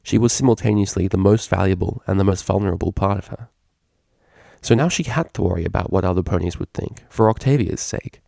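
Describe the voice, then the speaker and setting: A man's voice: deep voice, fairly quick, gravelly, mellow, dry recording, studio quality. A man reading a scripted story aloud as its narrator. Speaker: fan fiction reader.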